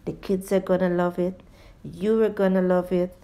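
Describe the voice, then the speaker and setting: Mellow-voiced woman narrating a recipe. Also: fairly low pitch, flat delivery, normal speed. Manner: addresses viewers as guys. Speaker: home cooking show host. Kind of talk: cooking tutorial voice-over, step by step.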